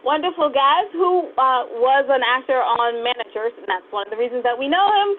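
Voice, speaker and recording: A woman's voice: high voice, expressive, fairly quick. A woman co-hosting and interviewing on a podcast, chatting casually in a joking, self-mocking way. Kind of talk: casual chat. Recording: noisy, tinny phone-like line.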